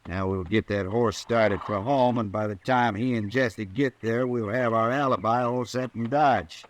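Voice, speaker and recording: A man's voice: on the low side, fairly even intonation, normal speed, slight background noise, natural voice. A man playing a cold-blooded, scheming cowboy killer with a curt, folksy western drawl in an old-time radio western. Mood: smug.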